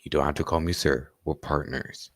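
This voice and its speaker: Composed male detective with extremely low voice, played by a teenage boy, courteous, radio play. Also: little pitch movement, moderate pace, background noise. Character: composed, polite senior male police detective. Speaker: student actor. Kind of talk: stage radio play acting.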